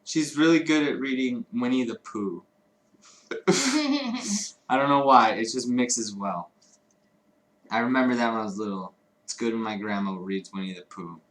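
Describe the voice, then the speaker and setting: A man's voice: medium pitch, sing-song, a little slow, pauses often, fairly bright tone. A man from Chicago co-hosting a livestream, chatting casually in a rambling, profane way and laughing often. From Chicago, United States. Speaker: livestream co-host. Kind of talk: casual chat. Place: home streaming studio.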